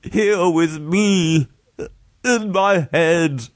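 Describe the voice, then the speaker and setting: A man's voice: sits high, extremely slow, no room sound, clean studio sound, pitched above the performer's own voice. A man voicing a beaten, frightened man who pleads in a piteous, snuffling, strained way.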